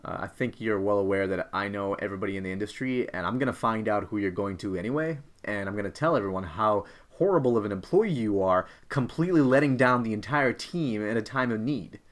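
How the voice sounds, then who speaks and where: A hostile office boss played by a man in a workplace role-play, speaking in a lowish voice. He is curt and accusing, leaning on his employee with pressure and threats. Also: quick pace, few pauses, close to an everyday voice. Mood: threatening.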